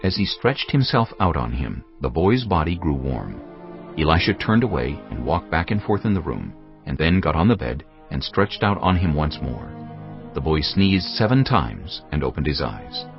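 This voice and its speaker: A man narrating scripture in a measured, solemn way. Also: a bass voice, big pitch swings. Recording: dull audio with music bed.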